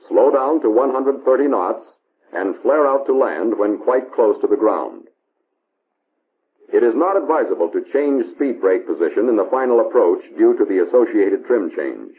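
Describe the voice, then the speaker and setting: An American man narrating a 1950s instructional film in a measured, authoritative, instructional manner, on an old film soundtrack. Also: lowish voice. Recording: tinny band-limited 1950s film soundtrack, hiss.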